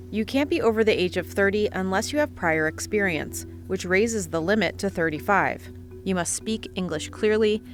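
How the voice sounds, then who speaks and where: A woman's voice: lowish voice, a bit bright. A woman reading scripted narration for a news documentary report.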